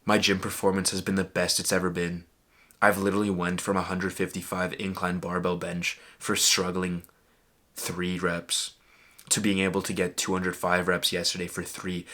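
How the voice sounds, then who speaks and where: A young man talking straight to camera about fitness, earnest and emphatic, leaning on "bro" and "literally." His voice sits low and stays fairly level in pitch, with a bright, forward edge. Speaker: fitness vlogger. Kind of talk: casual motivational talk to camera.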